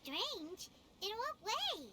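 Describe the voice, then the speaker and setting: A woman voicing a small, perky, blunt fairy companion with a squeaky childlike voice in a video game. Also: very animated, drawn-out words, very noisy, exaggerated voice. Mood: puzzled, probing. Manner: refers to self in third person, blunt questioning. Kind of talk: animated game voice acting. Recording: music behind the voice.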